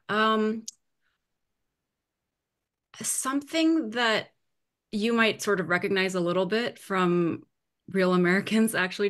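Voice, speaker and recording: Woman, 30s, from Malaysia, author guest, lowish voice, friendly, video call. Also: fairly bright tone. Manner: friendly, laughs easily. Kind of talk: casual conversation. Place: at home in the evening.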